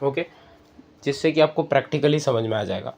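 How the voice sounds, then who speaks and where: A man's voice: medium pitch, fairly quick, creaky, a little mellow, a little hiss or hum. A man hosting an app tutorial, explaining step by step and addressing viewers as friends. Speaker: tutorial host. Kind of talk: spoken screen-reader app tutorial.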